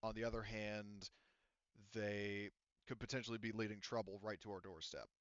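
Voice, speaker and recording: A man's voice: lowish voice, steady tone, normal speed, no room sound, highs cut off. A man serving as game master, relaying a character's words to the players in a hesitant, filler-heavy way. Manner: hesitant, filler-heavy, says "you know" and "like" often.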